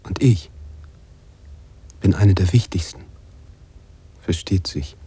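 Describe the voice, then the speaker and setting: An actor playing a brooding male writer who narrates his own story in a measured radio-play monologue. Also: sits low, mellow, husky, exaggerated voice. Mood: reflective, self-assured.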